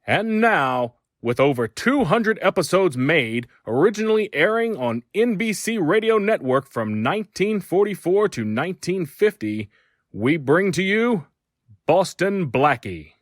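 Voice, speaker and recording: Upbeat modern announcer, lively delivery, dry close studio sound. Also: male voice, high voice, a little slow, no echo. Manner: upbeat, promotional. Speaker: channel announcer. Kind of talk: scripted announcing.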